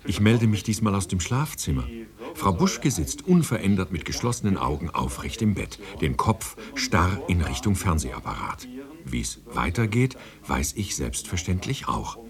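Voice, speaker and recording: Reporter-narrator, low-pitched, animated melody, chatty, matter-of-fact, breezy radio play narration. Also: male voice, moderate pace, crowd noise.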